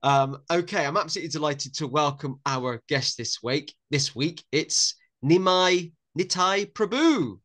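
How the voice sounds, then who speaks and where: A man's voice: a little high, expressive, unhurried, hardly any pauses, fairly bright tone. A man hosting a conversational interview podcast, talking in a polite, informal, chatty way. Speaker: podcast host.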